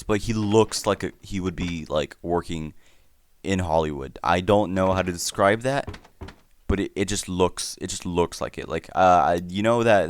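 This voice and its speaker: A man's voice: deep voice, clear, resonant tone. A teenage boy whose first language is Spanish, telling a rambling, self-deprecating story while playing a game, often stammering and restarting. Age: teens. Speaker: YouTuber.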